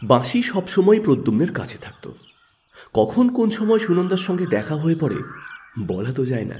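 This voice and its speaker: Expressive male narrator with a mellow voice. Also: on the high side, normal speed, slight background noise. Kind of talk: scripted literary story narration.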